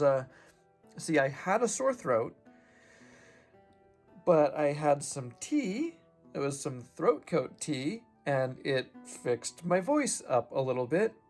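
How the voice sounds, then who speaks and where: Guy playing a goblin engineer, kinda high voice, slow and halting, sore throat getting better, faint music behind. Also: exaggerated voice. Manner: slow, halting advice-giving with pauses and fillers. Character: male goblin engineer, chatty and wary. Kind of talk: improvised tabletop roleplay monologue.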